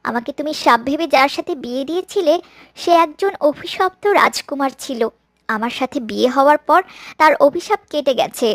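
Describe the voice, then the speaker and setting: High-pitched gentle dutiful young daughter. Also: female voice, lively intonation, fairly quick, no echo. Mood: proud, calm. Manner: pleading, earnest. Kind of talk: animated fairy-tale cartoon acting.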